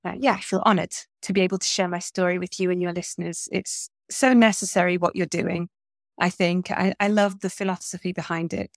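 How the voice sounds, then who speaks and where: A woman's voice: fairly low pitch, fairly even intonation, fairly quick, no echo, studio quality. A middle-aged woman from England, a guest in a podcast interview, talking in a chatty, thoughtful way with many fillers and restarts.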